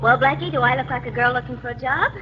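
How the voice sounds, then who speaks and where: A woman's voice: high-pitched, fast talker, natural voice. An actress playing a pert, playful young woman, a detective's sidekick, in a 1940s radio crime drama. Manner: pert, bantering. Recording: muffled, noisy old radio recording.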